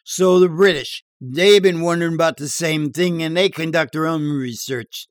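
Man acting a brash, animated barroom joker, pitched up. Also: moderate pace, no echo, clean studio sound, natural voice. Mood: cocky storytelling. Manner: emphatic, drawling, conspiratorial, slangy. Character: brash barroom joker spinning a dirty yarn. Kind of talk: audiobook character dialogue.

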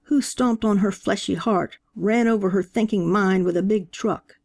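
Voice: medium pitch, fairly even intonation, moderate pace, gravelly, a little mellow. A narrator voicing a fervent elderly male preacher who fires rhetorical questions at a funeral gathering. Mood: preaching. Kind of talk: audiobook character voice.

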